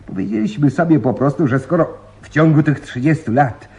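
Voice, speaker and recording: Gleeful prankster cop, fairly high voice, very animated, teasing radio drama delivery. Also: male voice, a little fast, vocal fry. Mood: gleeful, explaining. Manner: jovial, teasing. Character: gleeful prankster policeman teasing his boss. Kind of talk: Polish radio play acting.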